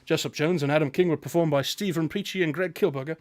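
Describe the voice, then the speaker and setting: A man's voice: fairly high pitch, fast, darkish tone, no room sound, studio quality. A man reading scripted closing credits as the announcer of an audio drama.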